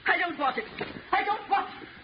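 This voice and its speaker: A woman's voice: very high-pitched, animated, a little fast. A woman playing a cold, haughty, nagging society mother in a 1950s radio drama, with an imperious, fussy manner. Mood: sharp disbelief. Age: middle-aged or older. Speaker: supporting actor. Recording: muffled old radio recording, faint hiss.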